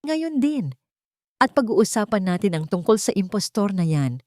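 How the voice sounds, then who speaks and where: A man's voice: high voice, big pitch swings, moderate pace, no room sound, pitched above the performer's own voice. Lady narrator doing an angry rich dad in a love drama, bossy, hits every word hard, dry sound. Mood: angry, commanding. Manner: forceful, commanding, stressed words. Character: furious domineering rich father on the phone.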